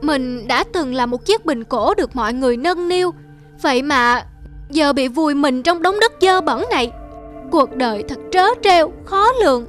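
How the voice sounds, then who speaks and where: A woman's voice: sits high, expressive, moderate pace, faint music behind. A woman voicing a bitter, discouraging shard of an antique pot in a cartoon, lamenting and dismissive. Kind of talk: cartoon voice acting.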